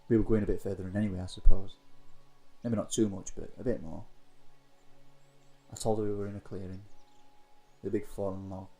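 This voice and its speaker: A man's voice: on the low side, flat delivery, brisk, pauses often, mellow. A man reading a scripted first-person story as its narrator. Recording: music under the voice. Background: music.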